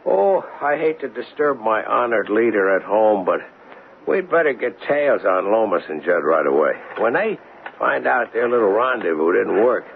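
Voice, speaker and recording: An actor playing a stubborn American city police sergeant in a 1970s radio drama. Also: male voice, fairly low pitch, very animated, normal speed, vocal fry. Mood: uneasy. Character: stubborn male city police sergeant following his hunches. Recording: muffled, hissy old radio broadcast.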